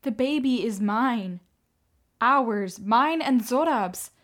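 An actress playing a gentle, grieving young Armenian woman, soft-spoken and quietly stubborn, in a radio play. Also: fairly high pitch, animated, takes it slowly. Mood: hurt, defiant. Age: young adult.

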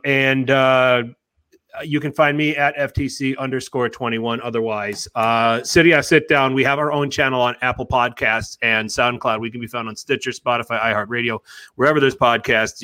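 A man in his forties, a podcast host, signing off in a relaxed, chatty way with many fillers. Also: medium pitch. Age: 40s. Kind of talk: casual podcast sign-off.

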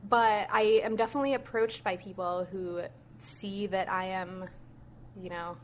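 A woman's voice: medium pitch, slight background noise. Chatty young woman guest. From Malaysia and the US. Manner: chatty, with fillers and light laughs. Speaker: podcast guest. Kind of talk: casual chat.

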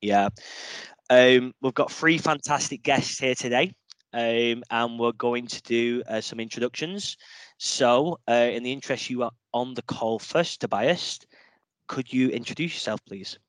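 A man's voice: mid-range voice, unhurried, fairly bright tone, no room sound, low-quality recording. Man, podcast host, upbeat, many fillers, dry close sound. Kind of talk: hosting a panel podcast.

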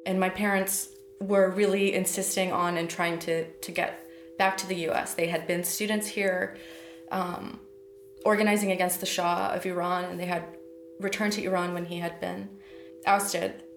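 A woman's voice: fairly low pitch, flat delivery, normal speed, echoey room, a little hiss or hum. A woman in her thirties, a poet, talking thoughtfully and hesitantly about her life, often restarting her sentences. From United States. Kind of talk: reflective personal interview.

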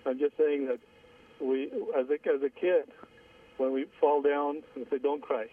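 A man in his forties with an Iranian Armenian accent, calling in to a radio show, hesitant and halting, repeating his words. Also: medium pitch, little pitch movement. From Iran. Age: 40s. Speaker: caller. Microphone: phone line. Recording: distant husky phone line.

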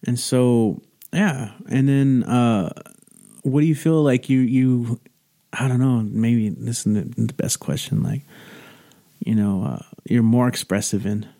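A man's voice: mid-range voice, a little slow, dark tone. A man hosting a podcast interview, chatting casually, rambling through his questions, restarting them and slipping in jokes. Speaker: podcast host.

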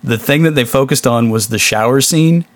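A man's voice: mid-range voice, moderate pace, no echo. A man hosting a casual chat podcast in an easygoing, joking way. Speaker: podcast host.

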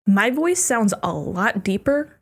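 A woman's voice: mid-range voice, animated, a little slow, breathy edge. A young woman chatting casually as a podcast guest, breaking into giggles between phrases. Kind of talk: casual chat. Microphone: headphones and podcast mic.

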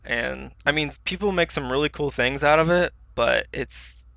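A man chats casually about video games as a podcast co-host. His voice is clear and crisp with a gravelly creak, and his manner is colloquial and easygoing. The recording is dry and a little dulled. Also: fairly high pitch, normal speed, pushed and bright, no room sound, dull audio.

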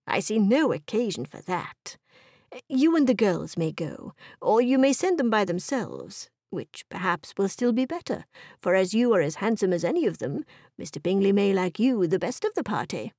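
A woman voicing a dry, sardonic middle-aged husband in a period novel audiobook, teasing in a measured, deadpan way. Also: medium pitch, dry recording.

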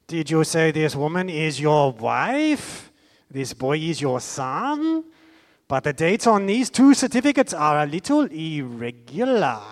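A man's voice: a little high, pitched above the performer's own voice. A man voicing a pompous, suspicious border guard who interrogates a traveller, raised above his own voice. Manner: interrogating, mocking, acquisitive.

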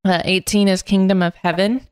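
A woman's voice: medium pitch, flat delivery, normal speed, clear, resonant tone. A woman co-hosting a podcast in casual, teasing banter. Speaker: podcast co-host.

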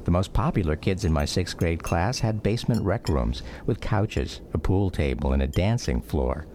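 A man's voice: low-pitched, expressive, moderate pace, mellow, slight background noise. An actor with an American accent playing a grown son narrating memories of his childhood in a radio drama. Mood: reflective. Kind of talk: scripted radio drama narration, first-person memoir. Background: music under the voice.

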